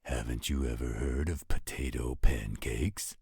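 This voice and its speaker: A man's voice: a bass voice, unhurried, creaky, darkish tone, slightly rough, dry recording, clean studio sound, heavily acted. A man playing an easygoing, coaxing partner in a scripted roleplay audio drama, with a patient drawl. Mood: coaxing, insistent. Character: easygoing male partner soothing his mate, potato-loving.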